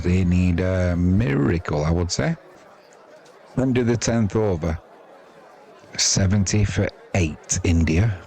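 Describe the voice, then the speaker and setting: A man's voice: low voice, dark tone. A man with an English accent giving chatty, wry, self-deprecating amateur live commentary on cricket. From England.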